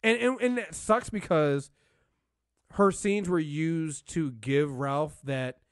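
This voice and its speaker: This is a man with a slightly high voice, recorded very dry. He hosts a podcast and talks in a casual, opinionated way. He leans on his points emphatically and doubles back to repeat phrases as he goes. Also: slow pace, no echo. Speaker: podcast host reviewing a TV show.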